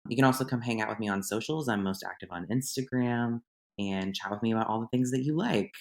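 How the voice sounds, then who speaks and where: A slightly hoarse young man hosting his podcast in a chatty, rambling solo monologue. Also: mid-range voice, quick pace, darkish tone. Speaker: podcast host. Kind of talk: casual solo podcast chat.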